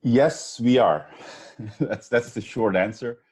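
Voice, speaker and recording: A man's voice: mid-range voice, takes it slowly, darkish tone, breathy edge. A man from Belgium speaking on a video-call webinar panel, hesitant, with many ums and restarts. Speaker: investment fund co-founder.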